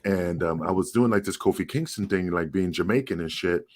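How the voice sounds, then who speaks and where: Deep-voiced profane man telling stories. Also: brisk, no room sound, studio quality. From Puerto Rican. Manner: profane, animated storytelling with laughs. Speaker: podcast co-host, former referee. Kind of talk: casual podcast chat.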